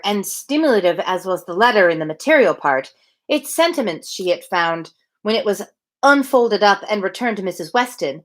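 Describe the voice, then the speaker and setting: A woman reading a classic novel aloud live, as an expressive storybook narrator. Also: mid-range voice. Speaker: reader of a daily livestreamed novel reading.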